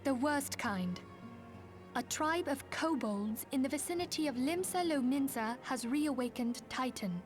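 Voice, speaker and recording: An earnest fantasy game leader lady, fairly high voice, measured n formal, soft music behind. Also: expressive. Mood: grave, composed. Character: composed, earnest woman leading a band of heroes. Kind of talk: scripted fantasy game dialogue.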